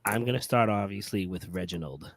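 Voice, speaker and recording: A man's voice: on the low side, expressive, fairly quick. A man hosting a casual chat show, playful as he leads the segments. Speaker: host.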